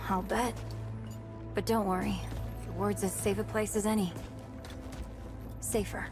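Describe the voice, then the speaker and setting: An actress playing a tough, dry-humored survivor with an understated manner in a video game cutscene. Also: female voice, mid-range voice, steady tone, a little slow, over music, lightly acted. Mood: dry, reassuring.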